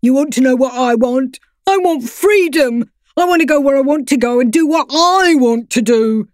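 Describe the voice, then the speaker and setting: A woman's voice: sits high, normal speed, a little mellow, no room sound, clean studio sound, pitched above the performer's own voice, lightly acted. A woman voicing a grumpy, defiant male pet dog who rails against his owners. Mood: rousing. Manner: scornful, defiant, speechifying.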